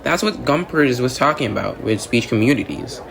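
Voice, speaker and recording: A man's voice: medium pitch, expressive, moderate pace, gravelly. Earnest student guy played by a man explaining stuff to a classmate, radio drama, lots of background noise. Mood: earnest, explanatory. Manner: explanatory, checks with "right?" and "you know". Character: earnest, academically-driven male student explaining ideas to classmate. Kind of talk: amateur radio drama, conversational. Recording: noisy outdoor ambience.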